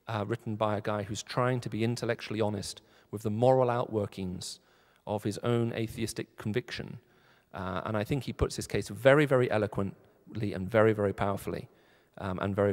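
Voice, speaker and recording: A man's voice: on the low side, fairly quick, a little mellow. Guy on a panel answering, keeps repeating himself, big echoey hall. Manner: repeats words, restarts phrases. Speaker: panel speaker. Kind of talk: forum answer. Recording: long reverb of a large hall.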